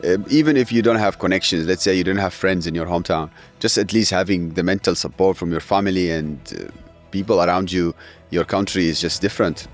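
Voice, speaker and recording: Male guest, 30s, very low voice, halting earnest delivery, faint music bed. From Syria. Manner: earnest, halting, with frequent fillers and restarts. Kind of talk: emotional interview.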